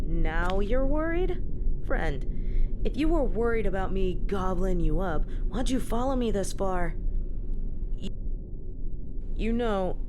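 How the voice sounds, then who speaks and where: Woman playing a low-voiced flirty werewolf gal. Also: very noisy. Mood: teasing. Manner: teasing, folksy, drawling informal speech. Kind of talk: roleplay audio drama, intimate one-sided dialogue. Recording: prominent background music. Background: music.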